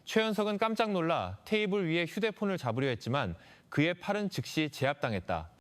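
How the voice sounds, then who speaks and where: High-pitched, animated male story narrator. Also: a little fast. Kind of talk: scripted dramatic narration.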